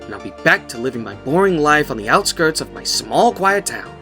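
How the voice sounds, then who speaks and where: A man playing a bewildered, foul-mouthed ordinary man who mutters to himself or yells in exasperation, in an audiobook. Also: on the high side, sing-song, brisk, faint music behind. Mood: uneasy, self-reassuring. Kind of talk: audiobook character acting.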